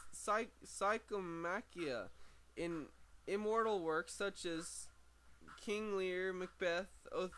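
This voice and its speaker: A man's voice: sits high, fairly bright tone. A man reading a book aloud as an amateur audiobook reader, often stumbling over words and repeating phrases. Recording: amateur recording with clear background noise.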